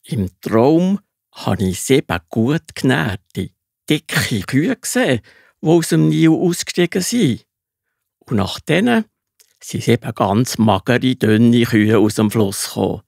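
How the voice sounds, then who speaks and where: A male actor plays a grand Pharaoh with a Swiss German accent in a children's audio play. He speaks slowly, with big swings in pitch, in a dry recording. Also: lively intonation, vocal fry, a little mellow, no echo, heavily acted. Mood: puzzled, storytelling. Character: troubled male Pharaoh, grand ruler of Egypt. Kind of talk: children's Bible audio drama.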